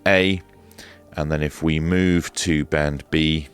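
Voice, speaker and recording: A man with an extremely low, deep voice presents a hands-on gear demonstration. He explains things in a measured, matter-of-fact way, with faint music in the mix. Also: fairly even intonation, clear, resonant tone. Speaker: presenter of a radio equipment review. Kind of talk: hands-on demonstration and review commentary.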